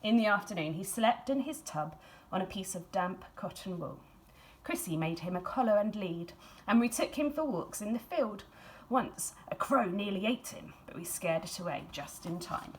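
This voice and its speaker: A woman reads a children's storybook aloud expressively, in a measured storytelling manner with dramatic emphasis and hushed phrases. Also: medium pitch, lively intonation. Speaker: school staff member reading a picture book aloud.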